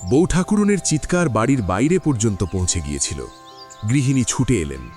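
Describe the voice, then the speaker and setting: A man narrating a classic story in an audio drama, with expressive storytelling. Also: mid-range voice, moderate pace, soft background music.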